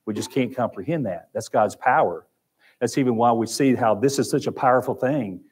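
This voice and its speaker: A man's voice: medium pitch, a little fast, darkish tone, breathy edge. A man with an Oklahoma accent teaching a Sunday Bible class in a church room, talking conversationally and repeating phrases. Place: church classroom with projector screen.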